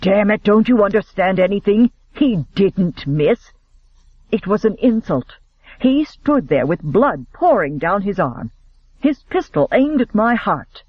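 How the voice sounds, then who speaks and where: A proud young nobleman in a bitter fury, as a man acts him out. He snaps his words with a wide, lively pitch, in a dry and slightly dull recording. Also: measured pace, husky, dry recording, full character voice. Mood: furious. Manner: snapping, bitter.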